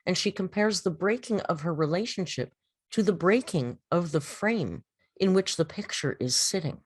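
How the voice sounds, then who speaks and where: A woman giving a dramatic poetry reading and commentary, with a theatrical, deliberate, grandiose delivery. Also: on the low side, animated, husky, dry recording, studio quality. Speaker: host reading and critiquing a poem. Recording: music under the voice. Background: background music.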